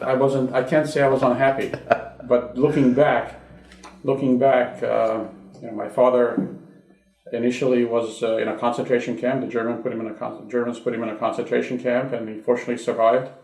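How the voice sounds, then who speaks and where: A man in his sixties, born in Hungary, recalling his childhood in a reflective, halting way during an oral history interview at his home. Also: medium pitch, little pitch movement, creaky, a little mellow, roomy sound, rough recording. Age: 60s.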